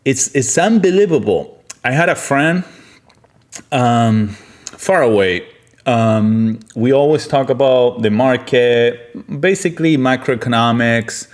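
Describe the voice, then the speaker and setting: A man from Argentina hosts an unscripted, opinionated monologue, speaking bluntly and emphatically and often repeating himself. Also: mid-range voice. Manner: blunt, emphatic, repetitive, swearing. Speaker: podcast host, physician.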